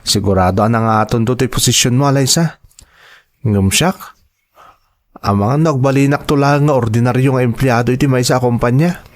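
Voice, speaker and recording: A man plays an insecure young male worker in a family audio drama. His delivery is earnest and plaintive, in a medium-pitched voice with a downhearted temper. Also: normal speed, long pauses, natural voice. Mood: self-doubting.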